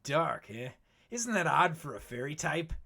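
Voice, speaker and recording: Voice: mid-range voice, sing-song, measured pace, vocal fry, bright, forceful tone, husky, heavily acted. A voice actor playing a brash, cynical veteran Pokemon explorer in a comic dub, talking in a cocky, slangy, needling way. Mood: sly, knowing. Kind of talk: animated comic dub acting.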